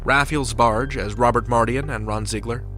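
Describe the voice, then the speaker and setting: A man's voice: medium pitch, fairly even intonation, moderate pace, a bit bright. Male podcast host over music. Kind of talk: scripted narration and outro. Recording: music under the voice.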